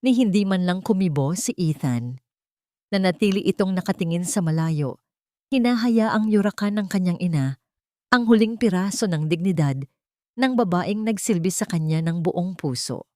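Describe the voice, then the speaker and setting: A woman's voice: on the low side, animated, normal speed, a little mellow, no echo, clean studio sound. A woman reading a scripted, dramatic story as its narrator.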